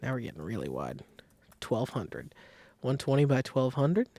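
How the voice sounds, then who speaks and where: A man giving a casual software tutorial, talking informally and thinking aloud as he works, with a creaky, gravelly voice. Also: medium pitch, very animated, moderate pace, dry recording. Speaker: design software tutorial host. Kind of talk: casual screen-recorded tutorial.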